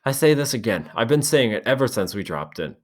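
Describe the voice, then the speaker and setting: A man voicing an earnest boy chief who speaks loudly and insistently. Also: medium pitch, sing-song, a little fast, voice pushed up. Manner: forceful, insistent.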